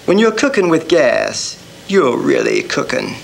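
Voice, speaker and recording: Guy doing an old timey radio announcer, formal showman, lively swoops, bit of gravel. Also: on the high side, unhurried, slight background noise, full character voice. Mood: showy, upbeat. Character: polished male old-time radio theater announcer.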